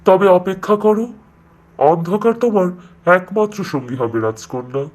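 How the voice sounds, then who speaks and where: A menacing sea demon king from a cartoon fairy tale, acted out by a man. He speaks slowly and with ominous threat, in a voice pitched a little high for a male. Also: expressive, darkish tone.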